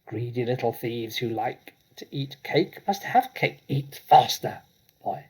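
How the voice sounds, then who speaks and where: A man's voice: mid-range voice, animated, a little slow, a little mellow, breathy edge. A man voicing a tyrannical, bullying headmistress in a storybook reading, bellowing domineering commands. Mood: furious, impatient. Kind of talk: storybook character acting.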